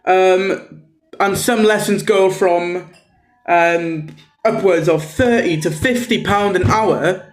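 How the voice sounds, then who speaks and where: Casting: North Welsh man, native Welsh speaker, high voice, chatty hesitant sales pitch, echoey room. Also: slow, soft background music. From North Wales. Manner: repetitive, hesitant, many ums and okays. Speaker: Welsh tutor promoting his Patreon. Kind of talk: casual self-promotional pitch.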